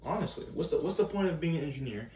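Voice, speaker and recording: A man's voice: fairly high pitch, lively intonation, quick pace, mellow, voice pushed up, lightly acted. An American man playing an earnest motivational teacher, giving students a rambling, emphatic, colloquial monologue. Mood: urging, emphatic. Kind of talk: self-written monologue, motivational speech. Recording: echoey room, distant mic, background noise.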